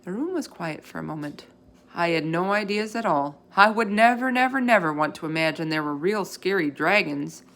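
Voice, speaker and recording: A woman reading a children's book aloud as a storyteller, expressively and with animated emphasis. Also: fairly low pitch, moderate pace. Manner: animated emphasis, acts out character dialogue.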